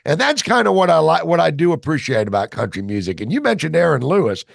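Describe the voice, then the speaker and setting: A man with a very gravelly, slightly high voice chats keenly as a talk radio host. The sound is close and dry, with no room. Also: sing-song, fast talker, no echo. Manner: chatty and enthusiastic.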